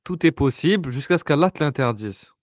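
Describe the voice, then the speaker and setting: A man talks through a subject in a relaxed discussion, pausing often and restarting his sentences as he explains. His voice comes through a muffled, lo-fi recording with no room echo. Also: fairly high pitch. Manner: hesitant, many fillers and restarts, explaining patiently. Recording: dull lo-fi sound, dry.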